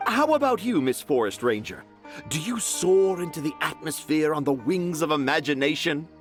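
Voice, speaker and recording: A man's voice: fairly high pitch, lively intonation, normal speed, faint music behind, lightly acted. A man voicing a grandiose, stubborn young dreamer in a video game, speaking in a florid, confident, theatrical way. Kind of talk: video game character acting.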